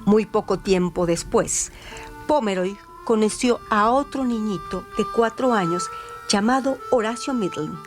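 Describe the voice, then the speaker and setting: A woman's voice: mid-range voice, a little slow. Woman narrator, dramatic, music underneath. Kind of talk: scripted dramatic crime narration for radio.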